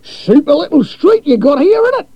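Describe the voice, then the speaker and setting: A man voicing a loud, friendly Australian man in a storybook reading, with a hearty drawl. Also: very high voice, big pitch swings, normal speed, no echo, voice pushed up. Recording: dull lo-fi recording.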